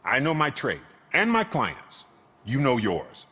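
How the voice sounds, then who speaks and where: An actor playing a shifty, grumbling car repair shop owner in a video game, raspy, wry and defensive. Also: male voice, medium pitch, huge pitch swings, slow, pushed and bright, heavily acted. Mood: irritated, defensive. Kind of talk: video game cutscene acting. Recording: long hall-like reverb.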